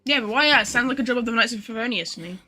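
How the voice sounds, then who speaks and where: A woman livestreamer giving casual, animated commentary over a video game, often in incredulous asides. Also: on the high side, rapid-fire. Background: faint game music.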